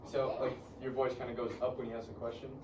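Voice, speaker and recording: Very low-voiced woman teaching, distant and echoey. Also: level tone, quick pace. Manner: casual, checks understanding with short tag questions. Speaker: teacher leading a reader's theater lesson. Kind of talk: informal classroom instruction. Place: classroom, indoors. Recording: roomy, distant classroom recording with background noise.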